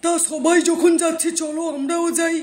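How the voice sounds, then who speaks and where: A man's voice: very high-pitched, little pitch movement, normal speed, a little mellow. A man voicing curious village men in a Bengali cartoon moral story, with music underneath. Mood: surprised, curious.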